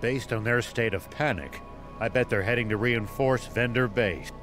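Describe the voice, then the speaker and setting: A man's voice: medium pitch, normal speed, music in the background, natural voice. A man playing a stern, cautious, composed older sheriff in a video game. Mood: grave, measured. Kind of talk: video game cutscene acting.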